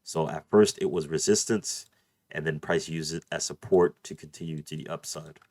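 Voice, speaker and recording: A man's voice: rumbling low, steady tone, normal speed, darkish tone, dry recording, studio quality. A man teaching trading over a chart screen share, casual and repetitive, speaking at a desk mic, his voice a little off after a cold. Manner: repeats "beautiful" and "let's play this out", addresses "you guys". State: just over a cold, voice a bit off. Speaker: trading tutor.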